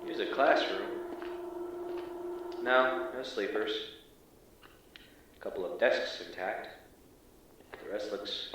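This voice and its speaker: An actor playing a wry, uneasy field agent who reports in a casual, halting, deadpan way in an audio drama. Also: male voice, medium pitch, heavily acted. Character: wry, uneasy male field agent exploring an abandoned school. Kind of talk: audio drama acting, exploration log. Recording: echoey, distant, faintly noisy field audio.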